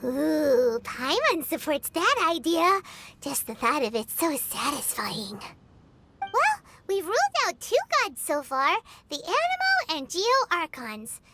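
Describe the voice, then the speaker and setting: A woman voicing a tiny, bubbly, childlike fairy companion with a squeaky, perky delivery in a video game. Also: wildly swooping pitch, takes it slowly, background noise, heavily acted. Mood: thoughtful. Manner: perky, speaks of self in third person. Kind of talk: video game character voice acting. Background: tinkling game music.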